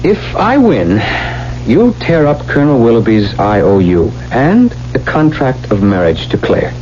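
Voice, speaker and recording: Radio drama lead: headstrong, desperate young gambler in love. Theatrical, commanding, hugely animated delivery on a dull old recording. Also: male voice, mid-range voice, measured pace, a little hiss or hum. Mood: tense, daring. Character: desperate, headstrong young male lover turned card-playing gambler. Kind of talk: 1970s radio drama acting. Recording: dull 1970s radio recording, music behind.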